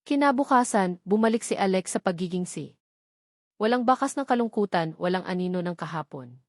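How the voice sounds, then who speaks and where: A Filipino woman narrates a dramatic scripted story. Her voice sits at a middle pitch for a woman. The sound is close and dry, with very little room in it. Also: animated, fairly quick, dry recording.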